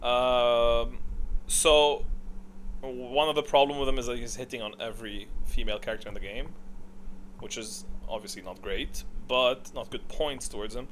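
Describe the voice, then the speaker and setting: A man giving casual, unscripted commentary on a video game, opinionated and thinking out loud as he ranks things. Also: medium pitch, fairly even intonation, normal speed, a little hiss or hum, poor audio.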